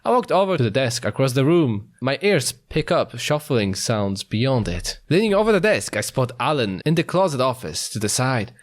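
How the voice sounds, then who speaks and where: A man's voice: mid-range voice, big pitch swings, moderate pace, few pauses. A man hosting a playful read-aloud of a visual novel, narrating it and dropping in joking asides. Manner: playful, jokey, breaks into laughter. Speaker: host of a let's-play, reading the narration. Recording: music behind the voice. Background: background music.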